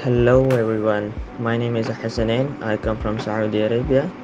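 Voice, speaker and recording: A male student speaking in a friendly, relaxed way. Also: mid-range voice, normal speed, dull audio, over music.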